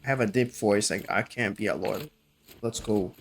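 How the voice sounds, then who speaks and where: A man's voice: on the low side, normal speed, vocal fry, a little hiss or hum. A male streamer giving casual gameplay commentary and joking back and forth with his chat.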